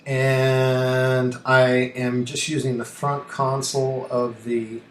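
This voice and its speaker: The recording is roomy and echoey, with faint noise behind the voice. A man talks slowly at a medium pitch as he hosts an informal streamed tutorial. He rambles, thinks out loud and puts himself down in an offhand way. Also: little pitch movement. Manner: rambling, thinking aloud, self-deprecating. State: allergy coughing and throat-clearing. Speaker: livestream host and ham radio operator. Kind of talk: casual live-stream tutorial.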